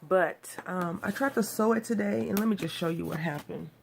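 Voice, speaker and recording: A woman's voice: on the low side, moderate pace, gravelly, darkish tone, slight background noise. A woman from Texas, nasal from a cold, chatting and rambling as she walks through making a craft card. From Texas, USA. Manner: chatty, rambling, self-deprecating. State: has a cold. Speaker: craft video host. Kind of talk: casual card-making tutorial.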